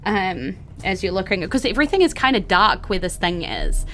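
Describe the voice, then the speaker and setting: A woman's voice: mid-range voice, big pitch swings, normal speed, fairly bright tone, slight background noise. A woman game master improvising narration for a tabletop game in a casual, chatty, giggly way.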